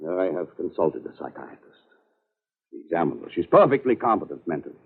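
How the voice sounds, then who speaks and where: A man's voice: sits low, sing-song, a little fast, gravelly, breathy edge, full character voice. A man playing an agitated, harried doctor with stiffly formal phrasing, in 1940s radio acting style. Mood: troubled, suspicious. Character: agitated, formal, foreign-sounding male doctor, harried husband. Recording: dull old radio recording.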